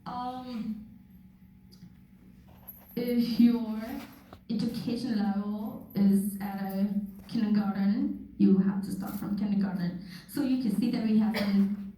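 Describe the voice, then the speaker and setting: A young woman from Thailand giving a personal testimony with slides, speaking slowly and earnestly with soft laughs, recorded from far off in a church hall. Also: medium pitch, steady tone. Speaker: guest speaker. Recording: echoey hall from far off, faint noise.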